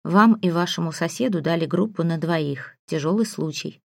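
A woman's voice: fairly low pitch, normal speed, creaky, no room sound, studio quality. A woman voicing a polite, awkward male academy colleague in an audiobook, courteous and tentative. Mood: friendly.

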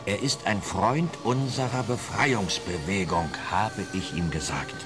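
A man's voice: on the low side, a little slow, music in the background, close to an everyday voice. An actor playing an evasive tailor turned informant in a German radio play, telling his story in a hesitant, ingratiating, roundabout way. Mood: eager storytelling.

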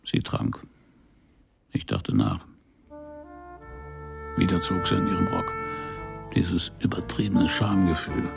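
An actor playing a brooding detective who narrates his own case in a measured, wry, gravelly voice for a radio crime drama. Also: deep voice, big pitch swings, over music, heavily acted. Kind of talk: first-person noir radio narration.

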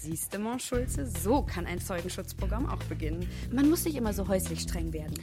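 A vain, mocking policewoman from a radio crime drama, played by an actress. Her mid-pitched voice is theatrically sarcastic and menacing, with clear music underneath. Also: expressive, brisk, natural voice. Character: sardonic, menacing female cop, flamboyant and vain. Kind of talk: German radio drama acting.

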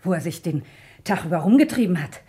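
A bitter, low-voiced farm woman. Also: lively intonation, fairly quick, husky. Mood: angry, accusing. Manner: sharp-tongued, insistent, repeats herself. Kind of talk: German radio crime drama acting.

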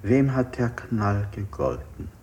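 A calm, insistent interrogator with a low voice. He puts formal, measured questions in the stylized manner of a 1960s radio play. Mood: probing, composed.

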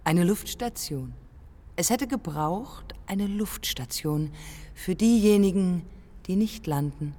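A woman's voice: fairly low pitch, lively intonation, takes it slowly, mellow, in a large hall. An actress playing a dreamy, stubborn 1920s woman aviator in a poetic radio drama, with terse, lyrical, insistently repeated phrases. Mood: yearning. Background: music under the voice.

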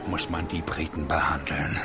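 A man's voice: extremely low pitch, little pitch movement, normal speed. An actor playing a pompous, boastful Prussian agent who worships his Kaiser, declaiming self-importantly in a radio drama. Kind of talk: German radio drama acting. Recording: music behind the voice.

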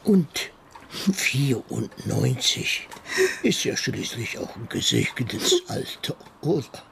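Brief: patient, soothing bereaved man in a radio play, heavy vocal fry, swooping intonation. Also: mid-range voice, sing-song, slow, background noise, heavily acted. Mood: consoling. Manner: soothing, pragmatic. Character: patient, consoling bereaved man clearing family home. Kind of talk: radio crime drama acting.

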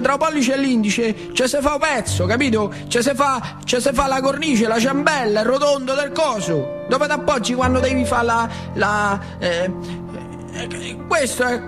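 Actor as old Lazio factory worker, music behind. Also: male voice, extremely high pitch. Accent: central Italian, northern Lazio dialect. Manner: earthy dialect, repeats phrases, prods the listener with questions. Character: old Italian working-class factory man, earthy, nostalgic storyteller. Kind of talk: theatrical monologue, storytelling to a young listener.

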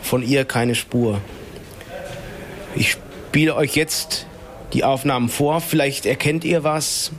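An actor in a naturalistic radio crime drama playing an earnest boyfriend of a missing woman, speaking haltingly. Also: medium pitch, a bit bright. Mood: earnest, desperate.

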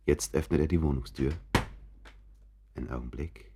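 A man's voice: a bass voice, steady tone, moderate pace, noisy background. A man playing a chatty, confiding narrator in a radio play, musing and interrupting himself with asides. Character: chatty, confiding male narrator showing listeners around an apartment.

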